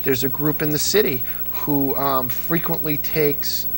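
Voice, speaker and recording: A man's voice: fairly high pitch, fairly even intonation, unhurried, faint music behind, low-quality recording. A middle-aged man chatting casually as an interview guest, telling anecdotes with enthusiasm and emphatically repeating words. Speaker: interview guest, old-time radio fan.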